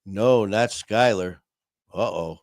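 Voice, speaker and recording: A man's voice: fairly low pitch, slow pace, dry recording, studio quality. Rambling man hosting a live stream. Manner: rambling with many fillers and restarts; friendly and upbeat, addressing viewers. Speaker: livestream host. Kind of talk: casual live-stream chat.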